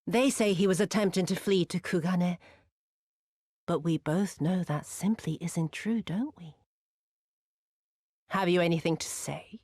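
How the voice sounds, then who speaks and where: A woman's voice: on the low side, a little slow, long pauses, a little mellow, slightly rough, dry recording, studio quality. A woman playing a cruel, haughty imperial viceroy in a video game cutscene, drawling with mocking sweetness. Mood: menacing, taunting.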